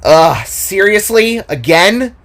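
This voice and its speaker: A man's voice: high voice, big pitch swings, slow pace, fairly bright tone, voice pushed up, full character voice. A man voicing a spirited, easily exasperated fifteen-year-old girl guitarist in an audio drama, with an exclamatory, informal delivery. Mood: exasperated, groaning.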